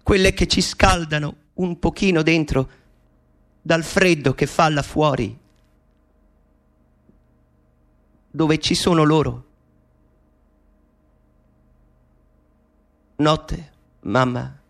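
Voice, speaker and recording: A man's voice: on the high side, a little slow, vocal fry, a bit bright. An actor playing a middle-aged man speaking an intimate, wistful monologue to his mother in a radio play.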